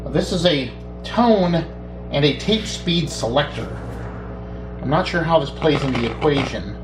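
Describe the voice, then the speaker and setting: A middle-aged man casually demonstrating electronics at a workbench, thinking aloud in an unhurried way as he works. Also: a little high, expressive, over music. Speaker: electronics repair hobbyist, host. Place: small indoor home workshop at a bench. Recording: roomy, distant mic with background noise.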